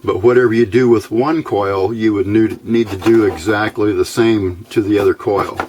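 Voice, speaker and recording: A man giving a casual hands-on electronics tutorial, halting and thinking aloud as he goes. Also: on the low side, steady tone, background noise. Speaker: electronics hobbyist video host.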